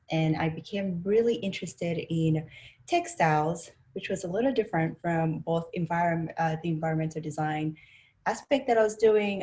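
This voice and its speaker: A woman from Rwanda presents academic research over a video call. Her voice sits on the low side. She speaks in a measured way and pauses often on fillers. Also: darkish tone. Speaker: presenter, design instructor.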